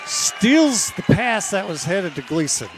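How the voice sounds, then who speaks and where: A man calling a women's college basketball game play-by-play, losing his voice and clearing his throat now and then. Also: sits high, background noise. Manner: steady, measured calls that rise on big plays. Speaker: play-by-play announcer.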